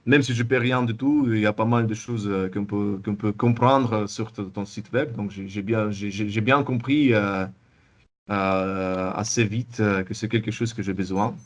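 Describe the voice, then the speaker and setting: A young man with a Russian accent, speaking French as a second language. His low voice moves in halting bursts, full of restarts and repeated words, as he answers in a relaxed interview. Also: lo-fi sound. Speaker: interviewee, former student. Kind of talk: casual testimonial interview.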